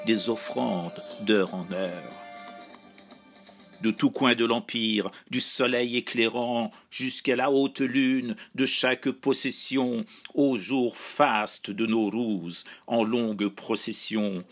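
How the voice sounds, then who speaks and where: A man solemnly reciting epic verse in a measured, declamatory way, phrase by phrase. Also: fairly low pitch, fairly bright tone. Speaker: poetry reciter.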